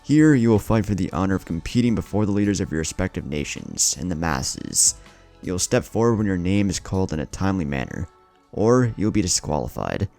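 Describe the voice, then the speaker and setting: A man's voice: low-pitched, sing-song, brisk, vocal fry, a little mellow, faint music behind, exaggerated voice. A man voicing a stern old samurai who formally announces the rules and bouts of a tournament in a story.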